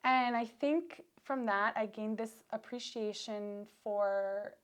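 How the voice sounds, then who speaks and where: A woman's voice: on the high side, fairly even intonation, takes it slowly, clean tone. Chatty young woman, rambling and laughing. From Canada. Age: young adult. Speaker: interview guest, PhD student. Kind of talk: casual conversational interview.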